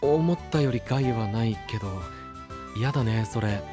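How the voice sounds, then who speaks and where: A man's voice: mid-range voice, normal speed, music in the background, natural voice. A male voice actor playing a kind, curious, easygoing young man in an anime-style audio drama, with a casual, friendly manner.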